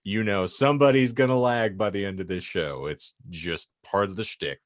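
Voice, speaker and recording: A man's voice: on the low side, normal speed. A man hosting a podcast in casual banter with a wry, understated delivery. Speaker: podcast host. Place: remote video call.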